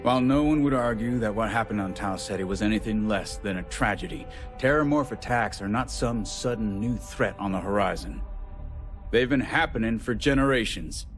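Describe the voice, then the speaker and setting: A man's voice: on the low side, normal speed, faint music behind, close to an everyday voice. A man playing a combative, skeptical politician in a video game, formal and dismissive. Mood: skeptical, indignant. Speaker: game character, cabinet member.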